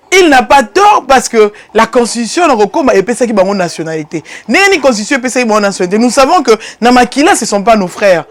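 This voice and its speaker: A woman from DR Congo with a Congolese accent, hosting a political commentary show and speaking emphatically, climbing higher and louder on key points before dropping low. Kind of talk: impassioned political commentary monologue.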